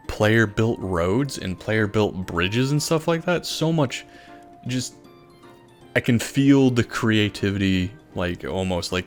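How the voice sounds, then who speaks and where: A man doing casual, enthusiastic gaming commentary in an informal, rambling way. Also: low-pitched. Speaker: gaming video host. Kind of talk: casual Minecraft time-lapse commentary. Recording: voice over background music. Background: music.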